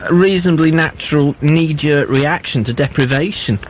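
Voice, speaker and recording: A man's voice: a little high, moderate pace, slight background noise. A man hosting a late-night radio phone-in, chatting casually and jokingly echoing his caller's words. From Merseyside. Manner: jokey, repeats the caller's words back.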